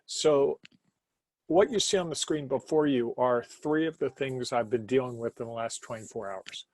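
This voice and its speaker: Man, webinar presenter, middle pitch, held-back loud voice, dry close sound, home office. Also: moderate pace, no echo, rough recording. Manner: holding back a naturally loud voice, laid back. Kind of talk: casual webinar talk.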